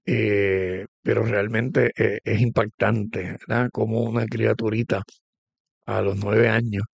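A man's voice: fairly low pitch, little pitch movement, slow, gravelly, slightly rough, no room sound. A man, a pastor hosting an interview program, talking conversationally with many restarts and fillers.

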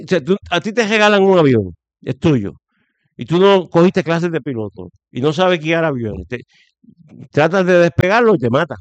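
A Puerto Rican man with a Puerto Rican accent, giving forceful opinions as a guest, with lots of fillers and restarts. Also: medium pitch, no echo. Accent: Puerto Rican Spanish. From Puerto Rico. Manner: emphatic, many fillers and restarts. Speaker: guest commentator. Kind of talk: opinionated conversational commentary.

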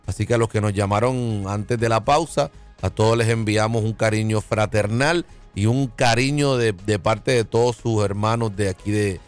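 A man's voice: on the low side, normal speed, clear, resonant tone. A man with a Puerto Rican accent, hosting a live radio show in a conversational style. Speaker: radio host, pastor. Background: music bed.

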